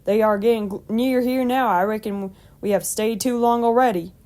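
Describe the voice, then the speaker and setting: Lady doing a jolly old farmer guy, country accent, folksy and hearty, soft mellow tone, storybook. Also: fairly high pitch, fairly even intonation, moderate pace, lightly acted. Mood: concerned. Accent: rural country. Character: chuckling kindly old male country farmer.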